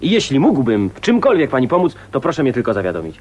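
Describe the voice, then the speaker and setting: Lively, gallant neighbour man. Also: mid-range voice, fast talker, dull audio. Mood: earnest. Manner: cheerful, courteous, animated. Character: genial, gallant, good-humoured younger male neighbour. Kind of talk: radio drama acting. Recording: faintly noisy old radio recording.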